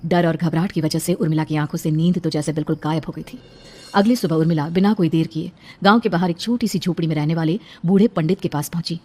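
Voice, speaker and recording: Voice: mid-range voice, quick pace, a little mellow. A narrator reading a scripted horror story in a dramatic storytelling style.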